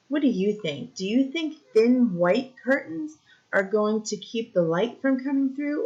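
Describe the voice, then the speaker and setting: A woman reading a picture book aloud to young children like a teacher, explaining simply and pausing to ask questions. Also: fairly high pitch, darkish tone. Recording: dull, lo-fi recording.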